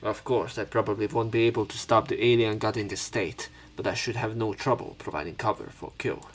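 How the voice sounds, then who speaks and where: A man narrates a visual novel as a let's play host. His voice is fairly low, and he moves between dramatic reading and casual commentary over game music. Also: fairly even intonation, a little fast, husky. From Hungary. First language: Hungarian.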